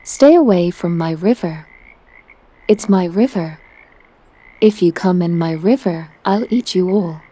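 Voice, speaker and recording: A woman's voice: on the low side, measured pace, long pauses, mellow. A woman voicing a large, possessive, blustering male crocodile in a children's storybook. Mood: threatening.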